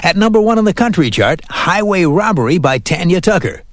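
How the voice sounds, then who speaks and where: A man hosting a weekly radio countdown show, reading scripted narration in a lively announcer style from a studio. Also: high-pitched, fairly quick, no room sound. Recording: music playing behind. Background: music.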